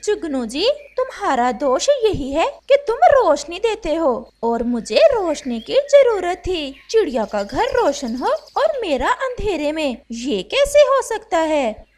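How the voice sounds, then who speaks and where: Cartoon crow wife, played by a woman: covetous, scheming, demanding, high and animated. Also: slow, a little hiss or hum, heavily acted. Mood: gloating. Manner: demanding, wheedling. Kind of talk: Hindi animated moral story dialogue.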